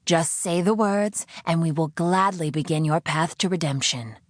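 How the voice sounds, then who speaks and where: A woman's voice: deep voice, moderate pace, no room sound, clean studio sound, lightly acted. A woman voicing a condescending, sanctimonious captor who interrogates a prisoner in an audiobook. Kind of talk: audiobook character acting.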